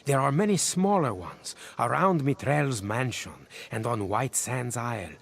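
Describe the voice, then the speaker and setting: An actor playing a pious, learned herbalist in a video game, explaining things in a deliberate, scholarly way. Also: male voice, mid-range voice, lively intonation, creaky. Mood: scholarly, intrigued. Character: learned herbalist healer, pious, earnest and cautious.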